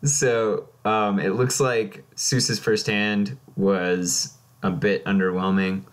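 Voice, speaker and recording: A man with a fairly low voice gives live commentary on a card game match. He talks casually, like a co-caster following a tournament game as it is played. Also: level tone, takes it slowly.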